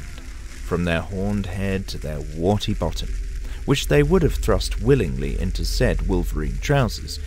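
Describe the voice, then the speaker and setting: A man playing a storyteller, narrating a comic audio play in a measured, dramatic voice. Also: low voice, darkish tone, low-quality recording. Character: grand, deadpan male storyteller of a comic tale. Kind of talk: theatrical scripted narration.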